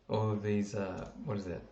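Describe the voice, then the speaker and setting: A man's voice: fairly low pitch, mellow, reverberant, highs cut off, slight background noise. A man hosting a casual sports podcast in a jokey, informal way. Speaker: podcast host.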